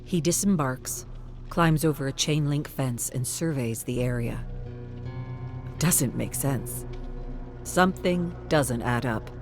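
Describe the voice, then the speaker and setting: A mid-pitched narrator delivers a scripted thriller story. The delivery is measured and suspenseful, in a dramatic audiobook style. Music plays softly behind the voice.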